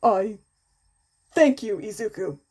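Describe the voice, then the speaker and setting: A woman's voice: medium pitch, animated, slow, darkish tone. A woman voicing an earnest, polite and determined teenage boy hero student in a story reading, with formal manners.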